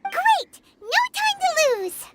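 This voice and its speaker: A woman voicing a tiny, excitable childlike fairy companion in a video game, squeaky, talking about herself in the third person. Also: slow pace, heavy background noise, full character voice. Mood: excited. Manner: speaks of self in third person. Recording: loud game music behind.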